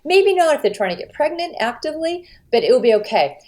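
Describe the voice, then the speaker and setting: A middle-aged American woman, a dietitian being interviewed, explaining in an animated, emphatic way with lively swings of pitch. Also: fast. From United States. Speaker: registered dietitian, guest. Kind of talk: conversational podcast interview.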